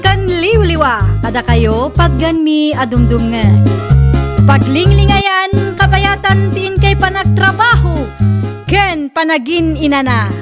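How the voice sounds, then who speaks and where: A woman's voice: very high-pitched, lively intonation, slow. A woman with an Ilocano accent introducing a radio drama as the channel host. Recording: loud music behind the voice.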